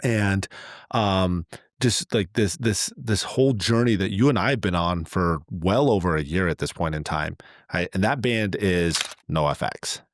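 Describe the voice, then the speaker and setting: Deep-voiced middle-aged man chatting on a podcast. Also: a little slow, dry recording. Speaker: podcast co-host. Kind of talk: casual conversational podcast talk.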